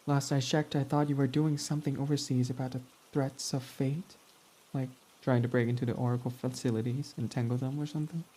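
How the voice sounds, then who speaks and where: A man's voice: mid-range voice, little pitch movement, fairly quick, warm tone, close to an everyday voice. A man voicing a prickly, snarky male villain in an ASMR roleplay, talking in a sarcastic, mocking, dismissive way. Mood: irritated.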